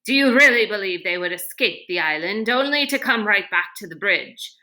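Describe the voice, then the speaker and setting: A woman's voice: medium pitch, expressive, moderate pace, pushed and bright. A woman voicing an angry villainous male leader in a storybook, snarling harsh orders with contempt.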